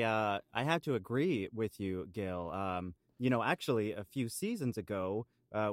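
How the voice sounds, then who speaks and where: A man's voice: fairly low pitch, no room sound. A man co-hosting a comedy podcast in character, with whimsical, florid, mock-earnest talk. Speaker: podcast co-host.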